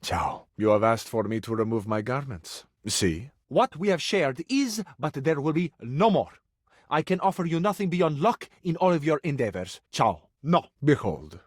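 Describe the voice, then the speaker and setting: A man's voice: mid-range voice, very animated, normal speed, no room sound, clean studio sound. A man playing a romantic, grandiose Italian lover in a video game, speaking with an Italian accent in a flowery, amorous, theatrical manner. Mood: tender, adoring, then wounded, proud. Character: romantic, grandiose Italian household object come to life, suave male lover.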